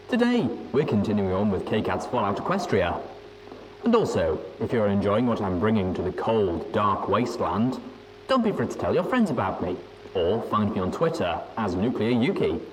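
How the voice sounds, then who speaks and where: Lively low-voiced man hosting a show. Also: noisy background. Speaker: host of a reading show. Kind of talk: scripted radio DJ style show introduction.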